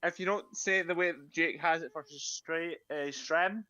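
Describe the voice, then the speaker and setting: A man's voice: on the high side, normal speed, bright, forceful tone, muffled recording. A man with a British accent bantering with friends on a gaming stream, teasing and insistent, often laughing.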